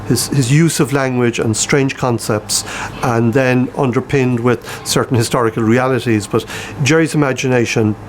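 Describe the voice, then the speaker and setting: A man giving considered, somewhat halting interview answers as a publisher. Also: medium pitch, fairly even intonation, slight background noise. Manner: considered, halting with repeated words. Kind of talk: thoughtful interview talk.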